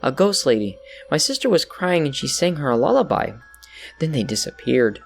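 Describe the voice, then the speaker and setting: A man's voice: a little high, big pitch swings, normal speed, vocal fry, faint music behind, voice pushed up. A man voicing a sad young boy of six or seven in a horror story. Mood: sad, hushed. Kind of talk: story character acting.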